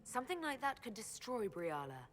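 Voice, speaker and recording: A woman's voice: fairly high pitch, big pitch swings, normal speed, a bit bright, big-room sound. A woman playing a composed, dryly wry leader in a video game, self-assured and commanding. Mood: measured.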